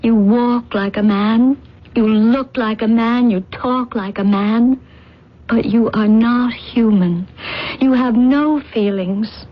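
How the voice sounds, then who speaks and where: A woman's voice: fairly high pitch, slow. An actress playing a blunt, emotional, free-spirited young woman in love, in a radio drama. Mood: wounded, bitter. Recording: muffled radio drama recording, noisy background.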